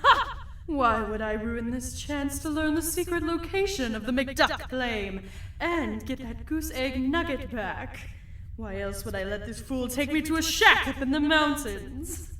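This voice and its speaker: Casting: actress as scheming cartoon saloon girl, bold and haughty, very high, animated delivery. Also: measured pace, a little hiss or hum. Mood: indignant, scornful. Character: feisty, scheming, sassy cartoon saloon singer, young female duck. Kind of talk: fan comic dub voice acting.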